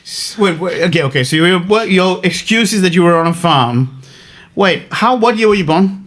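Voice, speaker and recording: A man from Sri Lanka, a podcast guest in casual chat, animated and teasing, swearing freely. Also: sits high, moderate pace.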